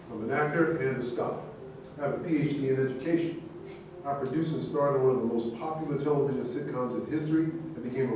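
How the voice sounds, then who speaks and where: A man's voice: medium pitch, natural voice. A man playing a self-satisfied sitcom star and scholar in a staged reading. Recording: echoey room, far mic, faint noise.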